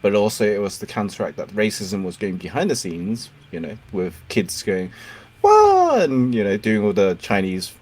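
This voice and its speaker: A man's voice: lowish voice, wildly swooping pitch, moderate pace, few pauses, a little mellow. A man whose first language is Cantonese, hosting a casual interview, encouraging and laughing often. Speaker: podcast host.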